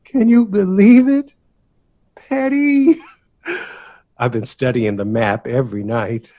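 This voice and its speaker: An actor playing an excitable, easily wounded young man in an audio drama, with a gushing, dramatic and pointed way of talking. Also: medium pitch, slow, lightly acted. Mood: excited, gushing.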